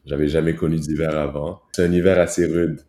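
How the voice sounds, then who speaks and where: A young man in his twenties, originally from Rwanda, speaks as an interview guest about his own experience. His voice is very low, dark and level, calm and earnest with small hesitations, and it rings out in an echoey room. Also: brisk. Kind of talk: personal testimony in an interview.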